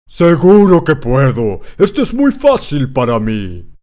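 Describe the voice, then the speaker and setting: A man's voice: medium pitch, wildly swooping pitch, takes it slowly, a little mellow. A man voicing a huge, proud, gullible old giant in a children's cartoon fairy tale. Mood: boastful. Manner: proud, boastful. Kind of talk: children's cartoon storybook acting.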